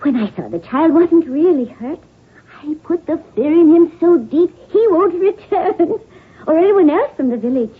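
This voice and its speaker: A playful young Irish noblewoman with a high, lilting voice that leaps about theatrically. She is bold and impish, heard through the thin, tinny sound of a 1970s radio drama. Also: animated, unhurried. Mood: playful, mischievous. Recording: tinny band-limited 1970s radio broadcast.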